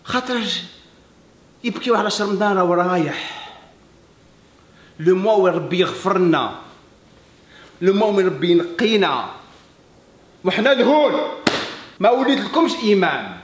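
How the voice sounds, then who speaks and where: A man, a French speaker, talking earnestly to camera as a fitness coach, emphatic and halting, slipping between French and Arabic. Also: high-pitched, sing-song. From Algeria. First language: English. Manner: emphatic, halting non-native French with repeats. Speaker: fitness coach and video host. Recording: echoey room.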